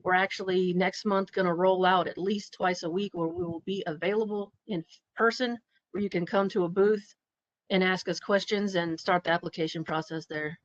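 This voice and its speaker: A woman police recruiter giving helpful, brisk information at a virtual meeting. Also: on the low side, fairly even intonation, no echo. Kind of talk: informative answer. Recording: dry, muffled call audio.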